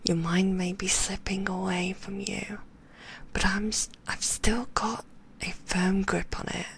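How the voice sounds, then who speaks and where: A woman voicing a possessive, sweetly manipulative female magician, whispering in a soft, cooing and softly condescending way. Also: medium pitch, flat delivery, a little slow, vocal fry, a little hiss or hum. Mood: doting. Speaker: ASMR roleplay performer. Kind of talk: whispered ASMR roleplay.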